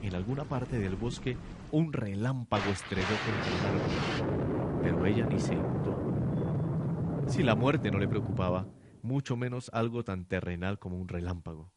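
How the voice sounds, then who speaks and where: Low-voiced young man narrating over noise. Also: normal speed. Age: young adult. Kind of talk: dramatic scripted narration of a radio drama. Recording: noisy amateur student production.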